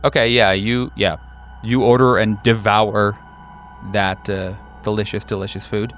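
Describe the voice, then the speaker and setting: A man's voice: on the low side, little pitch movement, unhurried, a bit bright. A man improvising narration as game master, casual and hesitant, rephrasing as he goes.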